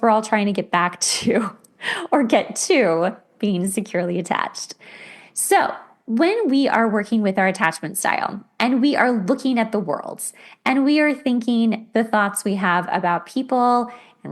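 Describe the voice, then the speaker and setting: A woman hosting a podcast, explaining self-help ideas in a casual, conversational way with imagined everyday examples. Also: fairly high pitch. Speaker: podcast host.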